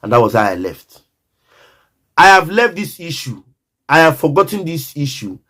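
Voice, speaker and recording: A man's voice: on the high side, sing-song, moderate pace, pushed and bright. A Nigerian man telling a personal story in a casual monologue, emphatic and repetitive, often speaking straight to the listener.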